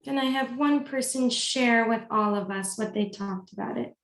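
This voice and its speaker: A woman facilitator with a fairly high voice runs an online group session. Her delivery is polite and instructional. The sound is clearly roomy and echoey, as on a video call. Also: moderate pace, slight background noise. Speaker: training facilitator.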